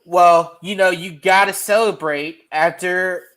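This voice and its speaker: A man's voice: high voice, steady tone, unhurried, a bit bright. A man hosting a sports podcast, chatting casually and with slang about football and basketball with friends. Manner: laid-back, slangy. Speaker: podcast host.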